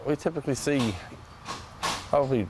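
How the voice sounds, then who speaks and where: A man working as a car service advisor, giving casual, halting interview answers over faint noise. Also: medium pitch. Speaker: auto shop service advisor.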